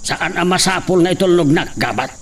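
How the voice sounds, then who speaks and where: A man playing a stubborn, proud old servant in a radio drama, with a strained high voice. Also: fairly quick, recorded from a distance. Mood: scolding. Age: older. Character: stubborn old male servant Ama Emiliano.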